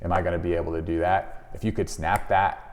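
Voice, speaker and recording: A man with a low, deep voice gives an informal lesson in a conversational, explanatory way. He sounds like a practitioner coaching colleagues in everyday language. The recording is heavily reverberant, with the room ringing around his words. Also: little pitch movement, fast talker, a little mellow. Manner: conversational, explanatory, voices example questions and replies. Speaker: chiropractor presenting a business advice video. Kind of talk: informal instructional talk to camera.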